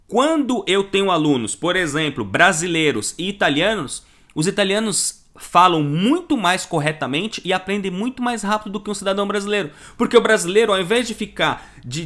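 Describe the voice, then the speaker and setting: A man with a Brazilian Portuguese accent gives a live online lesson, talking with animated emphasis and putting rhetorical questions to the viewer. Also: sits high. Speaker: online Italian course teacher.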